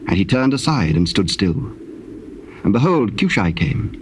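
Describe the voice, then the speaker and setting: A man narrating dramatized scripture in a measured, formal storytelling manner. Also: lowish voice, very animated. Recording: noisy old cassette tape.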